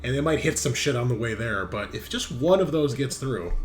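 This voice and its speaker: Man game master with lively, swinging pitch. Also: fairly quick. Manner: sweary, bantering. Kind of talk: casual tabletop roleplay narration and banter.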